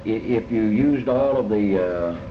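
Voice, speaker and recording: A man with a Kentucky accent giving reflective, folksy interview answers as a novelist, halting and deliberate, often repeating his phrases. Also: fairly low pitch. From Kentucky, United States. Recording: muffled, noisy, echoey old tape.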